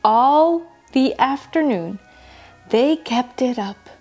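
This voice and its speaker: A woman reads a children's bedtime story with a very animated, swooping delivery. Her voice sits fairly high and she takes her time over each phrase. Music plays clearly behind her. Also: slow. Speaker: storyteller, children's show host. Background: background music.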